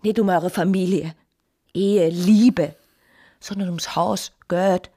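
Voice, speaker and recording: An actress playing a bitter, demanding mistress with a husky, breathy voice in a radio drama. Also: female voice, on the low side, fairly even intonation, slow pace, mellow. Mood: accusing.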